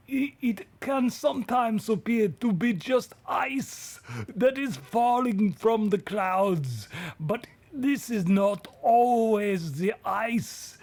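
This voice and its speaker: A man playing an ancient, jolly, chatty Santa Claus with a German accent, explaining things in a halting, slightly fussy way. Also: high-pitched, expressive, darkish tone, full character voice. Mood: jolly, explanatory. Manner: halting, explanatory, stumbling over words. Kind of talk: improvised comic character interview.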